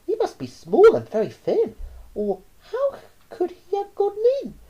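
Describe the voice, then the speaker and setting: A woman voicing an insistent little boy in a storybook, high and loud. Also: huge pitch swings, a little slow, lo-fi sound, heavily acted.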